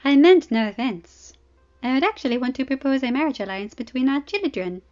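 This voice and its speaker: A woman's voice: high-pitched, expressive, a little fast, darkish tone, muffled recording, faint music behind, voice pushed up. A woman voicing an amused, provocative young princess with a sensual, drawling manner, as audiobook dialogue. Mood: unrepentant.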